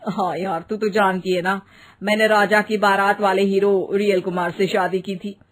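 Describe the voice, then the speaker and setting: A woman's voice: medium pitch, flat delivery, normal speed, pitched below the performer's own voice, close to an everyday voice. A woman voicing a weary young celebrity mother in a comic cartoon story, chummy and complaining in a resigned way.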